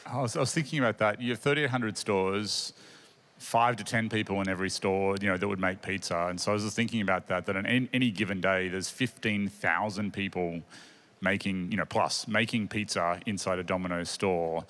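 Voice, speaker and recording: A man's voice: low voice, fairly even intonation, a little fast, fairly bright tone. A man in his forties hosting a conference stage panel on a handheld mic, talking in an eager, chatty way with many restarts. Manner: chatty, restarts and fillers, enthusiastic. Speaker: panel host. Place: large conference stage before an audience.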